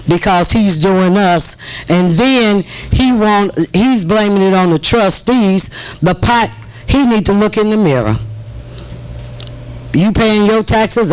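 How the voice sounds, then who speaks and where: A trustee speaking out to residents at a village board meeting, deliberate and emphatic, repeating phrases for effect. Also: female voice, medium pitch, darkish tone, rough recording. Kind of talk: impassioned public meeting speech.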